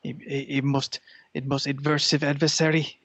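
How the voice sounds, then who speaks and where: A man voicing an imperious, scheming cat in a comedy podcast, speaking in a pompous, halting, grandiose way. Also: a little high, level tone, fairly bright tone, no echo, close to an everyday voice. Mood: haughty. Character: imperious, scheming male cat plotting feline takeover. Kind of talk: improvised comedy podcast character.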